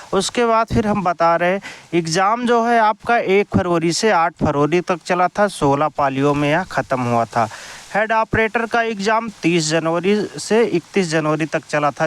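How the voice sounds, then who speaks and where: A man's voice: a little high, fairly bright tone, clear, resonant tone. A man giving an informal explainer to viewers, going step by step with repetitive phrasing. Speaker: exam news YouTuber.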